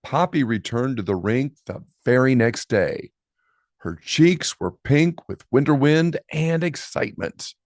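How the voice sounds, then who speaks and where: A man reading a children's storybook aloud expressively, with dramatic pauses and drawn-out key words. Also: mid-range voice, expressive, a little mellow, no room sound, studio quality. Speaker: storybook reader.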